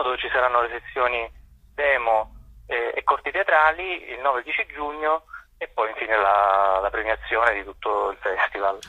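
A man giving unscripted, halting answers as a guest in a radio interview, searching for words. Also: medium pitch, no echo, narrow, tinny audio. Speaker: theatre festival director, interview guest.

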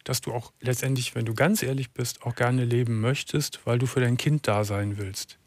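A middle-aged man hosts a late-night call-in radio show from a dry, close studio mic. He sounds calm and reassuring, and he often restarts his phrases before finishing a thought. His pitch sits in an ordinary middle range. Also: normal speed, dry recording. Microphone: studio microphone.

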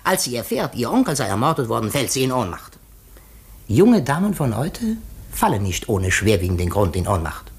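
Radio mystery role: shrewd, courteous detective, self-assured and theatrical, with strongly swooping, deliberate phrasing. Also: male voice, mid-range voice, sing-song. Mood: shrewd, insinuating. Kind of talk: 1950s German radio drama.

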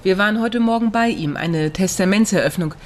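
An actress playing a cool, quick-witted and protective friend, speaking dryly in a radio crime drama. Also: female voice, medium pitch, a little hiss or hum, close to an everyday voice. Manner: dry, sharp-tongued, unruffled. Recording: studio radio play, music behind.

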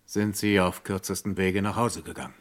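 Actor as deep-voiced, suspicious police inspector. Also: male voice, steady tone, normal speed, lightly acted. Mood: probing. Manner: formal, probing, coolly courteous. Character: polite, suspicious, unyielding male police inspector. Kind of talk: vintage German radio crime drama acting.

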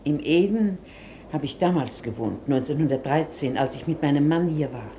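A woman's voice: sits low, lively intonation, moderate pace, dark tone, a little hiss or hum. An actress in a German radio play playing an ailing, wistful older woman of modest means, proudly reserved and hesitant. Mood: guarded, hesitant.

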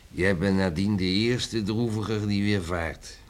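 An actor playing a middle-aged village father, gruff, protective and emphatic, in a Dutch radio drama. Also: on the low side, slow. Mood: reminiscing.

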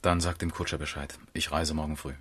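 Very deep, grave grieving gentleman. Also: little pitch movement, a little fast, slightly rough, no room sound, full character voice. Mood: grave, subdued. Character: grieving, absent-minded gentleman master, grave and weary. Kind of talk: literary radio drama acting.

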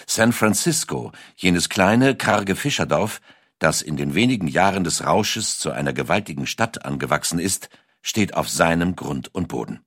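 Male narrator, deep register, dry studio sound. Scripted documentary storytelling, measured and deliberate. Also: expressive, fairly bright tone, slightly rough, dry recording.

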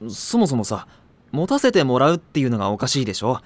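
An actor playing a mild, sensible, exasperated teenage schoolboy in an anime audio drama, dry and casual in manner. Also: male voice, medium pitch, big pitch swings, quick pace, a little hiss or hum, close to an everyday voice. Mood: dry, reasoning. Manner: level-headed, dry, casual.

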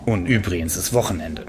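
A man acts as a chatty Berlin local musing in a comic radio play. The pitch is low and the accent is plainly Berlin, colloquial throughout. There is clear ambient noise behind the voice. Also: close to an everyday voice. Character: chatty Berlin local, musing, wide awake on weekend night.

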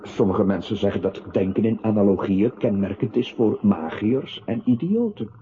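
A man's voice: on the low side, animated, normal speed, slight background noise, close to an everyday voice. A man acting a mocking, accusing inner voice in a literary radio play, with a sardonic, theatrical manner. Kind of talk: literary radio play narration, addressing the protagonist. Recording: tinny band-limited radio drama with music.

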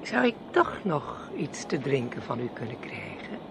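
Creaky, fluttery older lady. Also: rumbling low, big pitch swings, normal speed, a bit bright, exaggerated voice. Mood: polite. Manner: fluttery, polite. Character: frail, polite older lady traveller. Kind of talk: radio drama acting. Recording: noisy old radio play recording.

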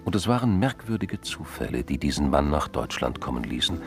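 A man's voice: very deep voice, big pitch swings, fairly quick, gravelly. A man narrating a radio crime drama from a script. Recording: music behind the voice.

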